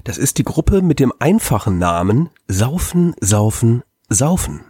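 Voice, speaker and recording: A man's voice: medium pitch, big pitch swings, a little slow, warm tone, slightly rough, no room sound. This man co-hosts a podcast while he has a cold, and his nose sounds stuffed up. His talk rambles, with many fillers. The sound is dry and close to the mic. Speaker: podcast co-host. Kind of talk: casual chat.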